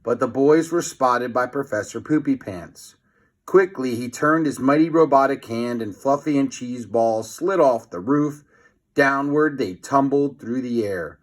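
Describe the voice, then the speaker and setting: A man's voice: medium pitch, unhurried. A man reading a children's story aloud as a theatrical narrator, with teasing asides to young listeners. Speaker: storybook reader.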